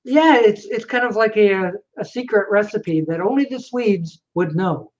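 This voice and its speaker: A woman's voice: medium pitch, lively intonation, unhurried, a little mellow. Jokey woman host, slightly muffled. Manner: jokey, upbeat. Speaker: chat host. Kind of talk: casual video chat.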